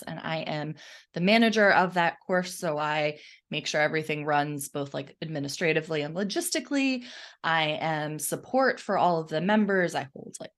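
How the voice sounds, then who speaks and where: A woman with a slightly low voice talks casually on a podcast about her team's work. She speaks as a course manager, in a supportive, agreeable manner. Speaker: podcast team member, online course manager. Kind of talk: casual behind-the-scenes podcast chat.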